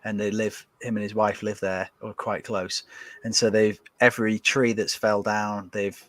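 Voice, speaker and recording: Male podcast guest, low pitch, dry close sound, rambling casual delivery. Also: flat delivery, normal speed, fairly bright tone, no room sound. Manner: rambling, hesitant, lots of fillers and restarts. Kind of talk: casual chat.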